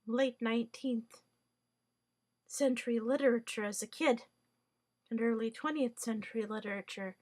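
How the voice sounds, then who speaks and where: A woman's voice: a little high, moderate pace, long pauses, a little mellow. A woman whose first language is Hungarian, giving a wry, rambling monologue full of fillers, restarts and mock-formal wording. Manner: hesitant, many fillers and restarts.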